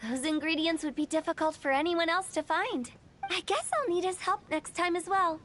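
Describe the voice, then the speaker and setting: A woman's voice: extremely high pitch, normal speed, a bit bright, faint music behind. An actress playing a courteous, cheerful young woman hosting others in an anime game, polite and attentive. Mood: curious.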